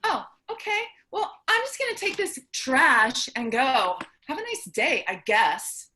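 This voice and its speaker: A woman's voice: high voice, very animated, a little slow, pushed and bright, heavily acted. A woman playing a quirky, earnest working woman who treasures junk, exclaiming wide-eyed in a staged play reading. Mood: awkward.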